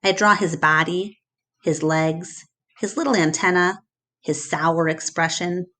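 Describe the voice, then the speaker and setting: A woman reading a children's story aloud as expressive narration. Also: fairly low pitch, unhurried.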